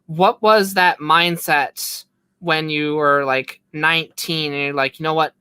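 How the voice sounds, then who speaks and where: A woman's voice: low voice, fairly even intonation, unhurried, pushed and bright, smooth, no room sound. A woman hosting a casual interview, asking long, rambling questions filled with "like.". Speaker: podcast host.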